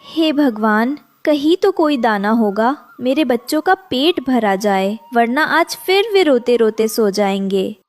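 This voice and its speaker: A woman's voice: fairly high pitch, lively intonation, unhurried, hardly any pauses. A woman voicing a poor, devoted mother bird in a children's cartoon, earnest and plaintive.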